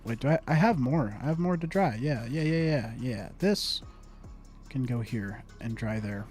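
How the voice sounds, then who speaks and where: A man's voice: medium pitch, animated, normal speed, a little mellow, faint music behind. A young man giving casual gameplay commentary, muttering to himself, humming and repeating phrases. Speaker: gaming streamer.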